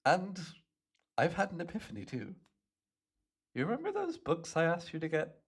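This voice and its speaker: A man plays a smug imprisoned supervillain in an audio roleplay, a chatty hypnotist with a gravelly, creaky edge to his voice. His pitch swings freely as he muses and teases, playful and in no hurry, speaking straight to the listener. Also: huge pitch swings, exaggerated voice. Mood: pleased, musing. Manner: playful, smug, unhurried musing with little chuckles. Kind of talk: ASMR roleplay monologue addressed to the listener.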